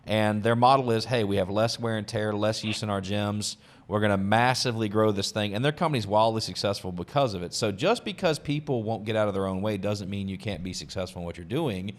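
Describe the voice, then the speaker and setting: A man with a fairly low voice hosts a casual business podcast. He talks emphatically, hammering points by repeating them. He speaks into a podcast microphone. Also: fairly quick, hardly any pauses. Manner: emphatic, repeats key phrases. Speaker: podcast host.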